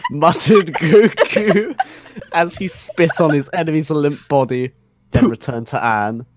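A man's voice: a little high, slow, a little hiss or hum. A young adult man giving a dramatic comic narration, prone to giggling as he reads. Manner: giggly, often cracks up while reading. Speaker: narrator of a comedy fan fiction reading. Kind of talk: dramatic narration breaking into amused banter.

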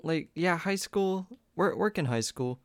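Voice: medium pitch, animated, a little slow, no echo. A speaker in their early twenties in casual chat, informal and prone to swearing.

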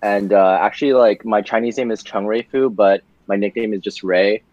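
A young man, a podcast guest, talking casually about his childhood, relaxed and full of fillers, laughing easily. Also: fairly low pitch, normal speed, no room sound, muffled recording. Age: young adult. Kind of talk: casual conversational storytelling.

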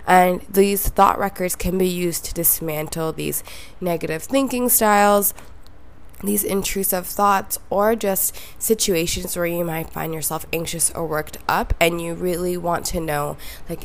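A woman's voice: fairly low pitch, vocal fry. A young woman hosting a solo podcast, sounding nasal from a cold and talking in a reflective, conversational way. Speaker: podcast host.

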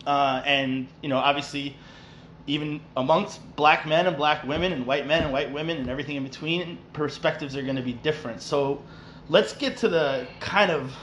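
A man from New York hosts a relaxed podcast in a slightly raised voice. He rambles and restarts his sentences, with faint background noise. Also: on the high side, normal speed, poor audio. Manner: rambling, many fillers and restarts. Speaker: podcast host. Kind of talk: casual conversational podcast.

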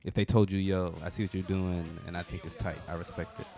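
A man co-hosting a podcast, asking questions in a relaxed, rambling way. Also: sits low, fast, a crowd in the background. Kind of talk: casual interview question.

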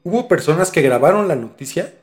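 A man's voice: fairly high pitch, very animated, a little fast. A young Mexican man telling a story as a podcast host in a casual, slangy conversational way. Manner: informal, slangy.

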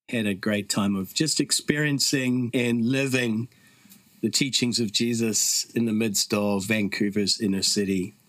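Middle-aged New Zealand man, podcast guest, earnest storyteller, mid-pitched voice, dry recording. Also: fairly bright tone, dry recording. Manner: reflective, earnest, with frequent fillers. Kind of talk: casual conversational storytelling.

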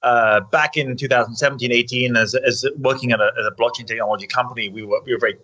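A man's voice: mid-range voice, fairly even intonation, fast talker, sharp tone, lo-fi sound. A man giving conversational interview answers as a podcast guest, hesitating and repeating words as he explains. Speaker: podcast guest, chief executive.

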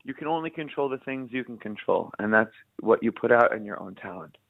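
A man from the United States, a musician, answering in halting German and slipping into English. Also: medium pitch, expressive, vocal fry, dry recording. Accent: American. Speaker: musician guest. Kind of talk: casual interview in learner German. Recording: dry, phone-like line.

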